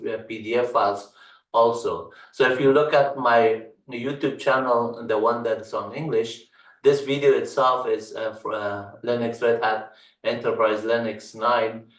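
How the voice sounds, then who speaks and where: Halting man, echoey distant mic. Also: lowish voice, a bit bright. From Afghanistan. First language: Dari. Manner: halting, many fillers, lists items slowly. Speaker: channel host and tech instructor. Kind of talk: casual screen-recorded tutorial talk. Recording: roomy echo, mic at a distance.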